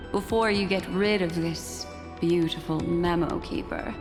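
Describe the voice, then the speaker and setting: An actress playing an elegant, composed young woman in a video game, coy and politely sardonic. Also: medium pitch. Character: elegant, teasing, composed young female memory keeper. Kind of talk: dramatic video game voice acting. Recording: long reverb, music underneath.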